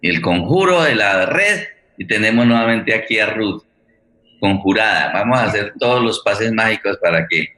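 A man's voice: on the low side, animated, sharp tone. A man hosting a live online forum conversationally, often repeating words as he gathers his thought. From Colombia. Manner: halting, repeats words and phrases. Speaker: forum host. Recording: echoey room over online stream.

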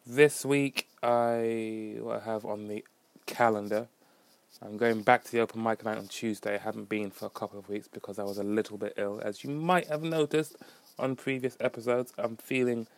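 A man's voice: lowish voice, fairly even intonation, normal speed, no room sound. A young man hosting his own podcast, rambling in a casual, self-deprecating way with wry asides. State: slight residual cough. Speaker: podcast host. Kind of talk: casual solo monologue.

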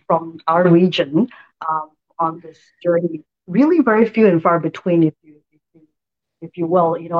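A woman's voice: fairly low pitch, lo-fi sound. Enthusiastic woman podcast host. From Malaysia. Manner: enthusiastic, effusive. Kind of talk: interview, spiritual talk show.

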